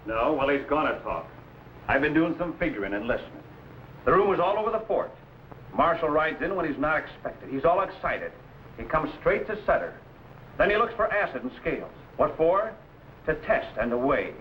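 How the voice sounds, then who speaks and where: Pushy gold-rush newspaperman: highish voice, big swings, declamatory old serial delivery. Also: very animated, normal speed. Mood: suspicious, insistent. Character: Sam, brash, opportunistic gold-rush newspaperman and trader. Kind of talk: old adventure serial acting. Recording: dull, faintly noisy vintage recording.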